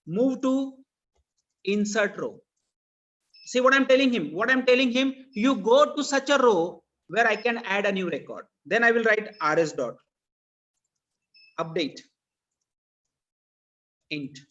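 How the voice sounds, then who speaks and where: A man's voice: sits high, lively intonation, a little slow, pauses often, a bit bright. A man with an Indian accent teaching programming in a live online lesson, explaining didactically and repeating phrases for emphasis. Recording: dull, lo-fi online meeting audio.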